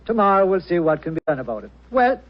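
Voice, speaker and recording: A dull, band-limited 1940s radio drama recording. an easygoing Irish police sergeant with a lilting, jolly delivery that swings widely in pitch. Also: male voice, big pitch swings, fast, a little hiss or hum. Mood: jolly, carefree.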